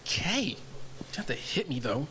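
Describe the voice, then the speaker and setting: A man playing a reluctant, flippant young teenage boy with a husky voice and whiny, protesting delivery in a live table read. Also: fairly high pitch, sing-song, a little fast, pushed and bright, a little hiss or hum.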